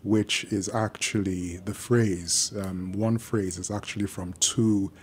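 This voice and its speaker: A man from Nigeria, a native Yoruba speaker, talking slowly on a podcast and pausing often with ums. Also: low voice, steady tone, husky. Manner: slow and measured, many ums and pauses. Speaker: guest, architectural historian. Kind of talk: podcast conversation.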